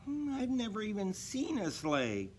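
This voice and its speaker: Guy doing a young girl reindeer from a storybook, high soft voice, earnest and wishful. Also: huge pitch swings, unhurried, a little hiss or hum, exaggerated voice. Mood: eager.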